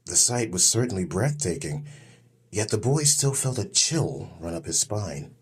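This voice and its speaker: Low-voiced man narrating a fantasy tale. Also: big pitch swings, a little slow, breathy edge. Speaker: audiobook narrator. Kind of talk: scripted fantasy story narration.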